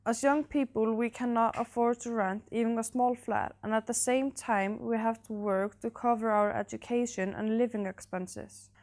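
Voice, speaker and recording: A teenage girl with a slightly high voice reads out prepared questions for an interview. Her delivery is even and scripted. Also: moderate pace, hardly any pauses. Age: teens. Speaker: student interviewer.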